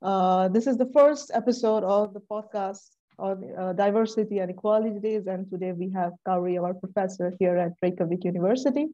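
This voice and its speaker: A woman's voice: mid-range voice, brisk, warm tone. A young woman from India, a PhD student hosting a video-call podcast politely, with hesitant fillers. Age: young adult. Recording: slightly dull video-call audio.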